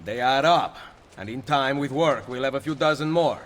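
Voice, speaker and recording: A man's voice: mid-range voice, moderate pace, natural voice. A man voicing a resolute young assassin. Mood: determined. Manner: earnest, resolute, curt. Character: grim young male assassin, determined and defiant. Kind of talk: video game cutscene dialogue.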